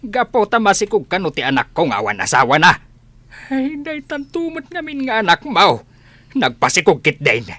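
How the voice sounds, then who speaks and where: A woman's voice: medium pitch, wildly swooping pitch, moderate pace, a bit bright, no room sound. A woman playing an aggrieved, accusing village parent who complains of shame, in a radio drama with an Ilocano accent. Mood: bitter, accusing.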